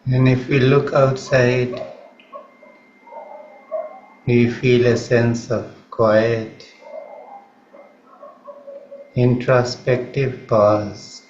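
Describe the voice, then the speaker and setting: A man with an Indian accent leads a guided meditation in a calm, measured manner, leaving long pauses between phrases. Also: mid-range voice. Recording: roomy, slightly muffled, faint background noise.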